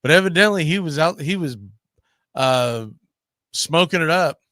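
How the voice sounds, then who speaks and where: A man's voice: fairly high pitch, a little slow, a bit bright, no echo. A man hosting a casual sports talk show, arguing emphatically and posing rhetorical questions.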